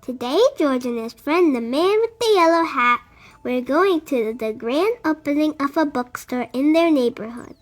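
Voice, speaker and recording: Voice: high-pitched, lively intonation, moderate pace, faint music behind. A storybook reader narrating a picture book expressively for young children.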